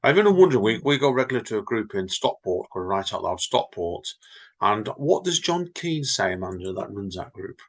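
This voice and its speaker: Man, husky and animated, podcast reader with a wry streak. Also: on the low side, a little fast. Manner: lively, chatty, wry teasing. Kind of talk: reading poems and casual chat.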